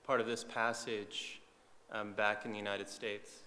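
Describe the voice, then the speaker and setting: A man's voice: fairly low pitch, little pitch movement, measured pace, pushed and bright. Man preaching in a long-reverb hall. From eastern Saudi Arabia. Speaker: visiting preacher. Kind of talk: sermon testimony in short phrases for an interpreter.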